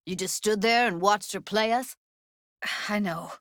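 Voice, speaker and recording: A woman's voice: mid-range voice, unhurried, a bit bright, no room sound, clean studio sound, natural voice. An actress voicing a tough, guarded young woman techie in a cyberpunk video game, speaking in casual, clipped slang with a wry edge. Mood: upset, tense. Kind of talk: naturalistic video game dialogue, isolated voice lines.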